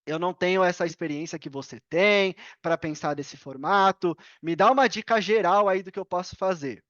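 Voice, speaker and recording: A woman's voice: on the low side, normal speed, a bit bright, no room sound, dull audio. A Brazilian woman speaking Portuguese as a podcast guest, explaining casually and emphatically with examples and questions to herself, opinionated and repeating key points. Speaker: podcast guest, affiliate marketer.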